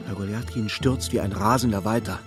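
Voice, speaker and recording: An actor as the narrator of a literary radio play, telling the story precisely and with dramatic suspense. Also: male voice, fairly low pitch, fairly quick, natural voice. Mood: dramatic, bleak. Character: male storybook narrator, vivid and urgent observer. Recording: studio radio play with music.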